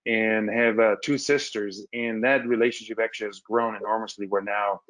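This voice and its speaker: A man from Austria on a video call, telling his story as a guest, quick and often repeating words as he starts sentences. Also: fairly low pitch, fairly even intonation, fairly bright tone, dry recording, low-quality recording. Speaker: alumnus guest. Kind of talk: casual webinar storytelling. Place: home room with wood ceiling.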